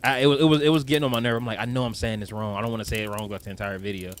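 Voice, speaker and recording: A man's voice: on the low side, fairly even intonation, racing. A young man co-hosting a podcast, chatting casually and animatedly about a movie, laughing often and swearing. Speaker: podcast co-host.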